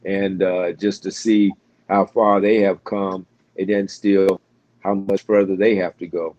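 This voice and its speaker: An American man, a pastor, talking in a relaxed interview with frequent fillers and restarts. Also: low voice, no room sound, dull audio. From United States. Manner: halting, many restarts and fillers. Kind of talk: casual interview conversation.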